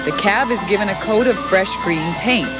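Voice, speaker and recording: A woman narrating a documentary in a calm, measured, scripted way. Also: medium pitch, rough recording. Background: music.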